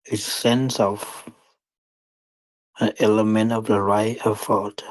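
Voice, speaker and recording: Slow, low-voiced man guiding meditation. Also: level tone, no echo, poor audio. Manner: deliberate, halting phrasing with long pauses. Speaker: meditation teacher. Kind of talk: guided meditation dharma talk.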